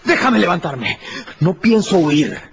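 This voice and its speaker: An actor playing a respected doctor in a radio drama, startled and disbelieving, speaking in a halting, bewildered way. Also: male voice, high-pitched, expressive, gravelly, lo-fi sound, heavily acted. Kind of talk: Spanish-language radio drama acting. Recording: music behind.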